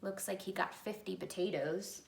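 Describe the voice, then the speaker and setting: A woman reads a children's picture book aloud in an expressive storytelling style. Her medium-pitched voice carries a clear room echo. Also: moderate pace, background noise. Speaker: picture-book reader, educator. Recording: echoey room.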